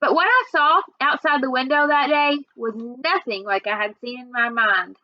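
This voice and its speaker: A woman reading a children's novel aloud in an expressive storytelling way. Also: high voice, moderate pace, no echo, lo-fi sound. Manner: expressive, acts out dialogue. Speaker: reader.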